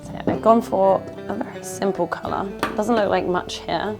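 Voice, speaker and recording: A woman in her thirties with a hoarse, husky voice from a cold, chatting casually to camera while decorating a small indoor laundry room. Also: medium pitch, fairly even intonation, moderate pace, over loud music. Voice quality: husky, lost voice. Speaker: vlogger renovating a home. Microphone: camera on a stand nearby.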